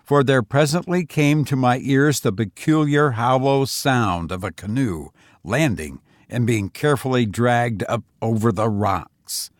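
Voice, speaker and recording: A man reading a first-person ghost story as an audiobook narrator, in a measured, deliberate way with formal literary phrasing. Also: medium pitch, no echo, studio quality.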